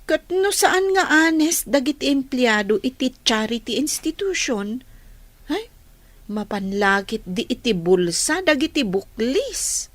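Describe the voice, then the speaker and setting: A woman playing a curious, outspoken young working student in a radio drama, speaking in an expressive, questioning way. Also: sits high, measured pace, no echo, full character voice. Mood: skeptical.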